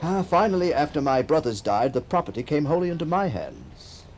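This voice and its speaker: A man's voice: a little high, sing-song, brisk, rough recording. An actor playing a musing, middle-aged man home after decades abroad, wry and hesitant, in a radio drama. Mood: wistful. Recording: faint background noise.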